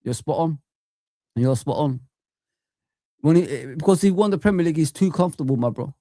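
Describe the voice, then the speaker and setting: A man's voice: on the high side, animated, brisk, warm tone, no room sound, studio quality. A young man hosting a live fan chat stream, ranting heatedly and repeating himself.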